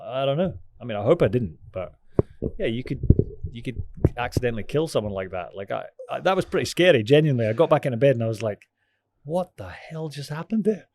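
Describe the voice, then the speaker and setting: A man's voice: medium pitch, expressive, brisk, darkish tone, a little hiss or hum. Man with a mild Scottish accent. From Scotland. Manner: chatty, laughs easily, swears casually. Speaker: podcast guest. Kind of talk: casual podcast chat.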